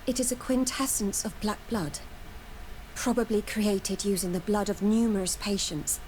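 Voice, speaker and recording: A woman's voice: mid-range voice, steady tone, moderate pace, a little mellow, a little hiss or hum, lightly acted. A woman playing a guarded, dutiful scientist troubled by guilt, in serious video game dialogue. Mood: grave.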